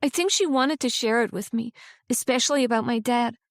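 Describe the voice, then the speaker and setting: A woman's voice: a little high, brisk, no echo, clean studio sound, lightly acted. A woman voicing a young woman grieving her mother, shaky and tearful. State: crying.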